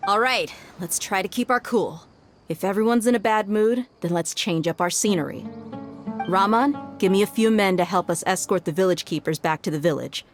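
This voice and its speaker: A brash female mercenary, voiced by a woman, talks in a brisk, commanding mid-pitched voice. She keeps things upbeat for the group. Game music plays low behind her. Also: lightly acted. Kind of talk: video game cutscene.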